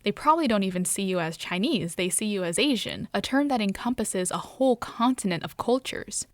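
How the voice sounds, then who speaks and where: A woman from New York, a journalist, reading a scripted personal story in a reflective, measured way. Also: medium pitch, lively intonation, no echo.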